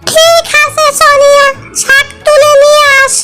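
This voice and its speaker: A woman's voice: very high-pitched, little pitch movement, measured pace. A woman voicing a frail, loving old village grandmother in a cartoon, speaking with tender concern. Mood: tender worry. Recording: music behind the voice.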